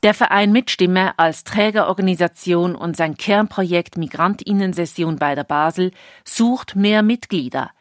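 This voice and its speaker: A woman radio presenter makes a crisp announcement in a lowish voice. The recording is dry and close, with no room sound. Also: normal speed, no echo.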